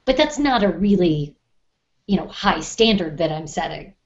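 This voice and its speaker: A woman co-hosting a casual podcast, reasoning through her points in a measured way and carefully qualifying them. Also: on the low side, expressive, lo-fi sound. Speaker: podcast co-host and writer.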